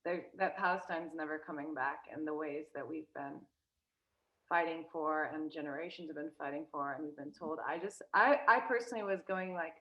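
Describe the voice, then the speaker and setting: A woman's voice: deep voice, a little fast, pushed and bright, highs cut off. A young woman, a guest in a casual interview, talking hesitantly with many fillers and restarts. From United States.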